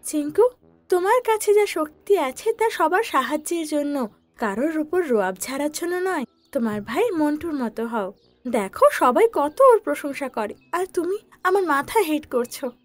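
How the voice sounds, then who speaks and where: Cartoon mother parrot woman performer: shrill-high, animated, scolding and distressed. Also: normal speed, dry recording. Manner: reproachful, pleading.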